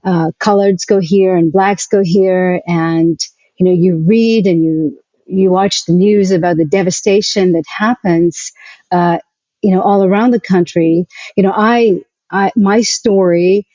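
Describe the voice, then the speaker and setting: A woman with a South African accent and a dark, mellow voice, talking as an interview guest. She repeats words as she reflects, in a dry, slightly dull recording. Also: on the low side, fairly even intonation, unhurried, no room sound. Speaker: interview guest, arts nonprofit executive. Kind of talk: conversational personal account.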